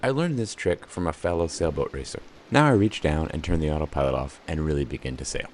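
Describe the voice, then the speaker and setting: A man with a very deep voice narrates a scripted voice-over about sailing. He keeps an even, measured delivery, lightened by dry, wry asides, and his pitch moves freely across a wide, lively range. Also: a little hiss or hum. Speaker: solo sailor and channel host.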